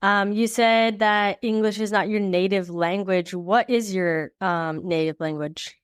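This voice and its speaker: A woman's voice: medium pitch, steady tone, measured pace, smooth, dry recording, clean studio sound. A woman co-hosting a podcast, asking questions in a light conversational way. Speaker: podcast co-host. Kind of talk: casual interview chat.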